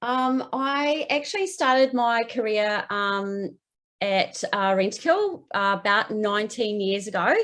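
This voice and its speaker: A woman's voice: medium pitch, lively intonation, takes it slowly, a bit bright. Friendly woman with a Kiwi accent. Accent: New Zealand. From New Zealand. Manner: friendly, many ums and uhs. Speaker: general manager, guest. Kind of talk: casual video interview.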